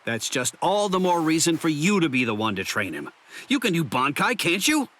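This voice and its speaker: An actor playing a brash, hot-tempered young swordsman in an anime game dub, talking bluntly and gruffly. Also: on the high side, big pitch swings, moderate pace, fairly bright tone. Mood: irritated, indignant.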